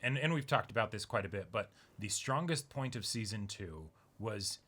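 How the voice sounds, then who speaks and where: A man's voice: lowish voice, expressive, normal speed, pushed and bright. A man co-hosting a casual discussion, thinking aloud in loose, conversational phrasing. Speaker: co-host of an anime reaction discussion.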